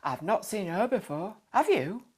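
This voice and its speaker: A woman voicing a kindly working-class Yorkshire father in a children's story, plain-spoken and measured. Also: mid-range voice, big pitch swings, a little mellow, breathy edge. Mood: fond, pondering.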